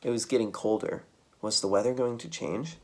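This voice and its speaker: A man reading a children's book aloud as a storytime reader, with dramatic, expressive delivery. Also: fairly low pitch, normal speed, gravelly.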